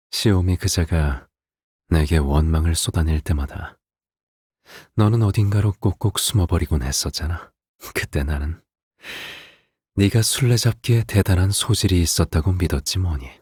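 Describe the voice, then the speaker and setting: An actor playing a soft-spoken, controlling father in a video game, speaking in a gentle, deliberate, coaxing way with quiet menace. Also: sits low, breathy edge. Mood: calm reproach. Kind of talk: scripted game voice acting.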